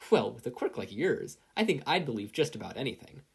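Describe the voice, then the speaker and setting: A performer voicing a gentle, polite mother with a competitive edge, in an audiobook style. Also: mid-range voice, big pitch swings, fairly quick, gravelly, slightly rough, full character voice. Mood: admiring, competitive. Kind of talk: audiobook-style character acting.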